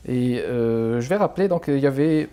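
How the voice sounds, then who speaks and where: A man's voice: medium pitch, animated, moderate pace, dark tone, clear, resonant tone. A man hosting a television literary interview, asking questions with many hesitations. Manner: hesitant, many fillers, reads passages aloud. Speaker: host.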